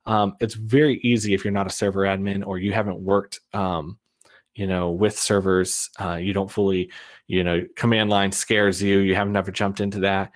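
A man's voice: low voice, flat delivery, moderate pace. A man in his thirties giving a casual webinar talk from a home office over a video call, loose and full of ums. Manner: casual, many ums and uhs, restarts. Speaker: web agency owner presenting. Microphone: webcam video call.

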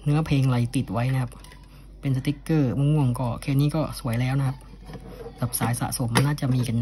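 Mellow-voiced man casually showing a product. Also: medium pitch, a little fast. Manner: repeats polite sentence-ending particles. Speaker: cassette collector presenting an unboxing. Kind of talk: casual unscripted product showcase. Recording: steady background noise.

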